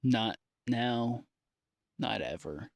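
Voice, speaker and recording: A man recording a rambling, confessional audio diary in a halting, downbeat, informal way with long pauses. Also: mid-range voice, expressive, vocal fry, background noise. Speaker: audio diarist.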